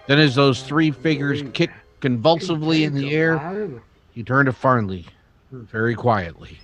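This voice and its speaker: A man playing a troubled, reflective townsman who narrates a western radio drama in a grave, deliberate way. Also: mid-range voice, music in the background, close to an everyday voice. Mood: grave, haunted.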